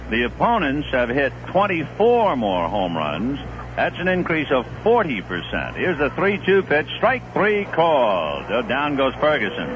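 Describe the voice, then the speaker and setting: A man calling a baseball game on the radio, chatting between pitches and reeling off statistics. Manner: lively, conversational, reeling off statistics. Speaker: play-by-play announcer. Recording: muffled 1970s radio broadcast with hiss.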